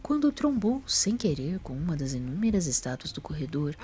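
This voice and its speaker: A woman narrating a scripted story as an expressive storyteller. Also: low voice, moderate pace, soft background music.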